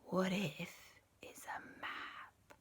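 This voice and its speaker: A woman voicing two curious boys who whisper excitedly while exploring the jungle. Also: extremely slow. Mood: hushed.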